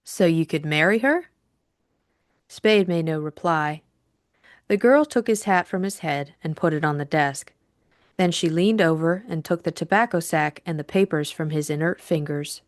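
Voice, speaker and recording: Casting: female audiobook narrator, lowish pitch, measured scripted delivery, dry studio-like sound. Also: no room sound.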